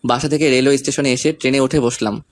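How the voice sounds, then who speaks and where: A man's voice: medium pitch, fairly even intonation, fast talker. A man reading a scripted first-person story, narrating events and speaking the lead character's lines.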